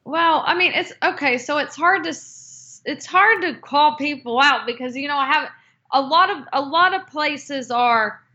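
A woman talks slowly and tentatively, restarting her sentences and filling the gaps with ums. Her voice sits a little high. The audio is muffled and lo-fi. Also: pushed and bright. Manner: slow, hesitant, with many fillers and restarts. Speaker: guest, cocktail book author. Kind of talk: unscripted interview answers. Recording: dull lo-fi audio.

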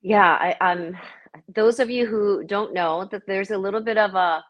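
A woman's voice: medium pitch, moderate pace, muffled recording. A woman hosting an interview, chatty and conversational, asking open questions. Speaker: interview host.

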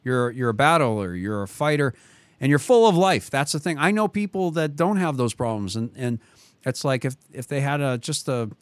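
A man's voice: medium pitch, normal speed, no echo. A man with a Boston accent hosting a talk show, telling stories in a loose, rambling way with many restarts and asides. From metropolitan Boston. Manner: talkative, lots of restarts and trailing asides. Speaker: podcast host. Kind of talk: rambling conversational storytelling.

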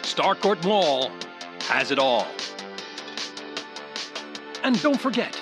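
A man narrating a retro mall commercial as an announcer, salesy and emphatic with drawn-out slogans. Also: fairly high pitch, very animated, music in the background.

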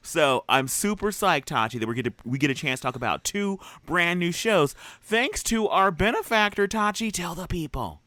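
An excitable young man co-hosts a casual TV review chat, tossing off quick asides. His voice is bright and forceful, swinging widely in pitch. The sound is dry and close, with no room around it. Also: dry recording. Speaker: podcast co-host.

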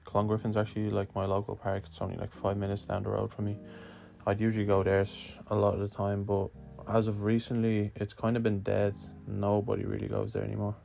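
A young man from Lithuania answers questions in a relaxed, slangy way and swears now and then. His voice sits low with a gravelly edge and barely rises or falls. Faint music plays behind him. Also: a little fast. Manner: laid-back, swearing, slangy. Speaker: guest skater answering a questionnaire. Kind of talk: casual spoken answers.

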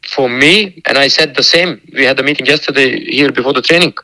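Coach, male, bright tone, guarded and halting answers. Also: medium pitch, low-quality recording. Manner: halting, repeats words, careful and guarded. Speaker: football coach. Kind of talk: phone interview.

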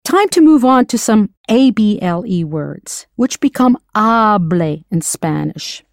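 A woman whose first language is English, narrating an audio language lesson in a clear, encouraging way, slowing down to model pronunciation. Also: mid-range voice, expressive, no echo, clean studio sound. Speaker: language teacher.

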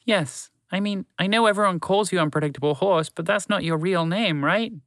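A man's voice: high-pitched, normal speed, fairly bright tone, dry recording, clean studio sound, pitched above the performer's own voice. A man voicing a small, earnest male mouse who pleads and talks a lot. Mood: pleading. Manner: pleading, talkative.

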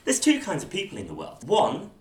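A man hosting an interview, asking measured questions with fillers and restarts. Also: sits high, huge pitch swings. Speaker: podcast interviewer. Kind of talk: conversational interview. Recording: echoey room.

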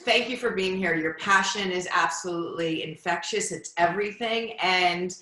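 Bright, effusive middle-aged woman host. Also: lowish voice, moderate pace. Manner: effusive, warm praise with laughs. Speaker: podcast host. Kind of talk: casual interview chat. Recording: echoey room.

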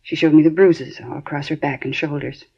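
A woman's voice: sits low, steady tone, a little fast, gravelly, a little mellow, breathy edge, heavily acted. An actress playing an anxious woman who talks hesitantly and stumbles over her words, on a 1950s radio drama. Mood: distraught. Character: anxious, fretful middle-aged woman. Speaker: victim's sister. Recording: dull lo-fi 1950s radio broadcast.

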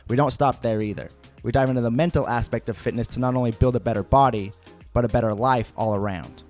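A young man hosting a fitness podcast, talking in an upbeat, energetic way with plenty of fillers. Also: medium pitch, fast talker, soft background music. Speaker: podcast host. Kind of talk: casual podcast chat.

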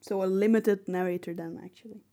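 A woman's voice: mid-range voice, steady tone, brisk, warm tone. A woman co-hosting a casual podcast, talking thoughtfully with some hesitant phrasing. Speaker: podcast co-host.

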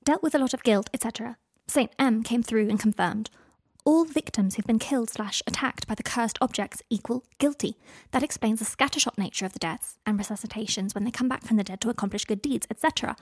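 A woman reading aloud a letter in the voice of a hurried, excitable young witch who writes in clipped notes. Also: a little high, slightly rough, no room sound. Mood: brisk. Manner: rushed, clipped note-taking.

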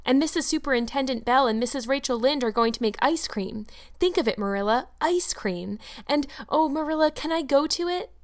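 A woman's voice: high voice, a little fast, pitched above the performer's own voice. A woman voicing an eager, chattering orphan girl of about eleven, breathless and gushing as she pleads. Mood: pleading, excited.

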